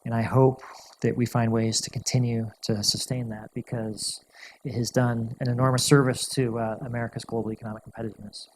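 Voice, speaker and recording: Measured man answering questions, even tone. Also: medium pitch, a little hiss or hum, poor audio. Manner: measured, thoughtful, restarts and repeats words. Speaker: guest speaker answering audience questions. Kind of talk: lecture Q&A answers.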